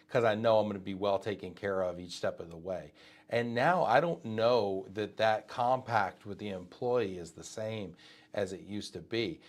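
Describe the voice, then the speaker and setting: A man from Texas hosting a live interview, talking in quick conversational bursts with many asides and fillers. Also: lowish voice. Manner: talks fast with many asides and fillers, speaks to viewers directly. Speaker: host of a live show.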